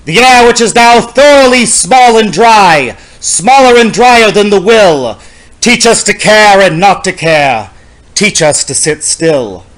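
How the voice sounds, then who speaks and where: A man giving a dramatic poetry reading in a solemn, deliberate, theatrical and incantatory manner. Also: high-pitched, fairly bright tone, rough recording. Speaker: poetry reader.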